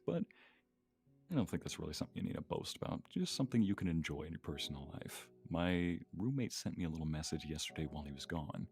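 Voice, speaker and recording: A man's voice: extremely low pitch, darkish tone. A man chatting casually on a livestream, telling stories in a playful way with an easy chuckle. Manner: chuckles often, playful storytelling. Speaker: streamer co-hosting a live chat.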